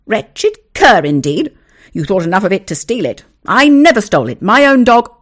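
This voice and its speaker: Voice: mid-range voice, very animated, normal speed, pitched above the performer's own voice. A reader voicing an indignant man who furiously accuses his neighbour of theft. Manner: shouting, indignant. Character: angry indignant man accusing a dog thief.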